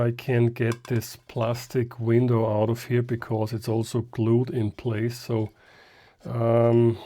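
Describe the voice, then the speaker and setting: A man's voice: medium pitch, dark tone. A man giving casual, unscripted how-to commentary as he works on a hobby restoration, hesitant and thinking aloud to himself. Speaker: hobbyist host of a restoration video.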